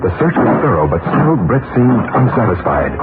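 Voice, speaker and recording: A man's voice: mid-range voice, big pitch swings, normal speed, heavy background noise, close to an everyday voice. A man narrating a 1940s radio drama in a dramatic storytelling manner, on an old tinny radio recording.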